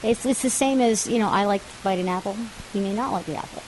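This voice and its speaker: A woman co-hosting a TV talk show, animated and laughing often, acting out the voices she quotes. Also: mid-range voice, quick pace, a little hiss or hum, rough recording. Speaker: co-host. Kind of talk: casual talk-show chat. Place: TV studio.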